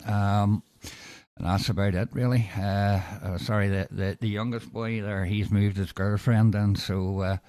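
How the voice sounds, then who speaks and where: A man's voice: on the low side, steady tone, a little slow, mellow, no echo. A middle-aged man with a Northern Irish accent, chatting casually and matily as a radio operator. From Northern Ireland. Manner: matey, colloquial. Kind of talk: casual radio contact chat.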